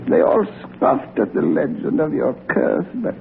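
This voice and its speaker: An actor playing a deranged, vengeful old watchman in a 1930s radio drama, fawning and halting in his speech, on a noisy old recording. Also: medium pitch, wildly swooping pitch, vocal fry, narrow, tinny audio, exaggerated voice. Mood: gleeful, bitter.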